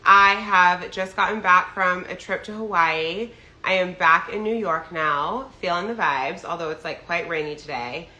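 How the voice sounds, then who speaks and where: A woman's voice: lowish voice, measured pace, pushed and bright, reverberant, rough recording. A young adult woman getting over a cold and sounding a bit nasal, talking casually as a business coach. She chats in a loose way, with lots of "like" and "right?". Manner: chatty, filler-heavy, often asks "right?". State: recovering from a cold, slightly nasal. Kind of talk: casual monologue to followers. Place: New York.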